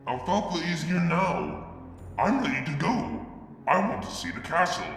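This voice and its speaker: Expressive male storyteller, echoey. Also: fairly high pitch. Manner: measured, expressive. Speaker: fanfic reader. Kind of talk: dramatic story reading. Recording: echoey room with music. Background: music.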